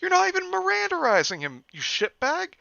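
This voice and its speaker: A man's voice: medium pitch, huge pitch swings, moderate pace, gravelly, dry recording, highs cut off, pitched above the performer's own voice, exaggerated voice. A man voicing a nervous but sarcastic teenage boy. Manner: nervous then sharp-tongued.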